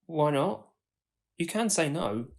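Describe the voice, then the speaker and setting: A man's voice: a little high, expressive, slow pace, creaky, heavily acted. Casting: actor as kind, socially awkward young man, tentative and earnest, audio drama dialogue. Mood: tentative, curious.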